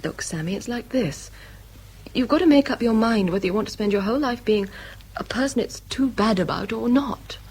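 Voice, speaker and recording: An actress playing a loving, frank, long-suffering girlfriend in an intimate radio drama, often hushed. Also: a little high, animated, moderate pace, husky. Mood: tender, pleading.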